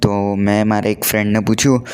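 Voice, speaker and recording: A man's voice: lowish voice, flat delivery, fast, a little mellow, clean tone. A young adult man chats casually and earnestly, telling stories in a conversational way and mixing in English words. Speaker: vlogger.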